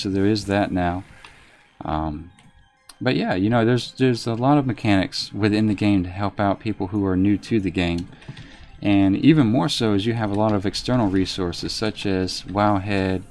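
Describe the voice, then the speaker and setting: Quiet soft-spoken guy streaming a game, lowish voice, reserved and easygoing, faint music behind. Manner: reserved, unhurried, talks while playing. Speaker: live streamer. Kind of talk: casual gameplay commentary, reading chat.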